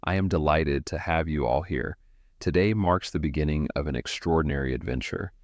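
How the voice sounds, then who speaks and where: A very deep, suave mansion owner voiced by a male narrator speaks in a grand, formal welcome. His voice is low and dark, recorded dry and close. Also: a little fast, no room sound. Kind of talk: audio story character voice.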